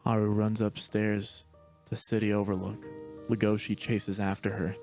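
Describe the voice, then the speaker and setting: A man narrating stage directions in a steady, matter-of-fact reading voice. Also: fairly low pitch, normal speed, faint music behind. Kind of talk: plain read-aloud narration.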